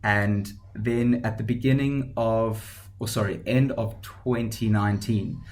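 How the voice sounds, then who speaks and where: A man's voice: lowish voice, fairly even intonation, slow, darkish tone. A man in his thirties from South Africa with a South African accent, a startup founder talking through his career as a podcast guest, with frequent ums and restarts. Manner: hesitant, many ums and restarts. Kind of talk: conversational podcast interview.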